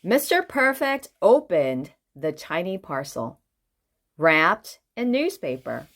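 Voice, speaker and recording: Female children's storyteller, expressive and lively in pitch, unhurried, engaging the listener directly. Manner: expressive, asks the listener questions. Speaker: children's book reader. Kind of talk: animated read-aloud storytelling for children.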